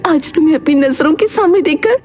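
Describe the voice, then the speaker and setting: A woman's voice: high voice, animated, brisk, darkish tone, pitched above the performer's own voice. A woman voicing an emotional, fiercely protective mother in a story drama, speaking emphatically. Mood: tender, moved.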